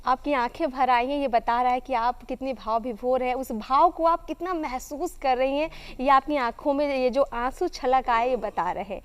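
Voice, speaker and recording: A woman's voice: high voice, moderate pace, hardly any pauses, clear, resonant tone. A woman hosting a broadcast interview, speaking in effusive, flowery phrases. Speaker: TV interview host.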